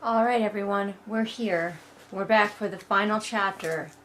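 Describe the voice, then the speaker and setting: A woman hosts a casual read-along in a medium-pitched voice. She sounds sarcastic and exasperated as she picks the story apart. Her delivery is comic and conversational, with casual swearing. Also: normal speed, a bit bright, a little hiss or hum. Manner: acts out mocking character quotes. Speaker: host of a book read-along. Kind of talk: casual comic recap and critique.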